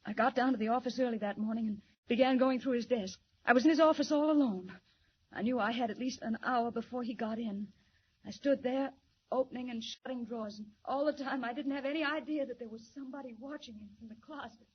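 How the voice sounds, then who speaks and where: High breathy young secretary, tense and defiant, dull old radio sound. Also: female voice, brisk. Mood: anxious. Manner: tense, urgent storytelling. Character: frightened young woman secretary, spirited. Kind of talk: 1940s radio acting.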